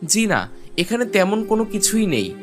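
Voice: medium pitch, very animated, normal speed, music in the background, natural voice. A performer playing a polite, earnest and eager village boy in a cartoon. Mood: polite, helpful. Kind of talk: cartoon voice acting.

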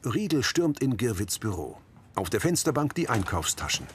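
A man's voice: mid-range voice, big pitch swings, normal speed, noisy background. A man reading scripted audio description, narrating the scenes of a drama.

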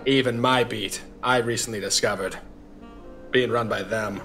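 An actor playing a drunk, disillusioned veteran newspaper reporter with world-weary noir patter in an audio drama. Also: male voice, on the low side, fairly even intonation, normal speed, a bit bright, close to an everyday voice. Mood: weary, bitter. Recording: faint music underneath.

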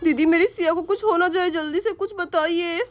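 A woman's voice: very high-pitched, brisk, faint music behind. A woman voicing a careless, boastful young husband in a cartoon story. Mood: panicked.

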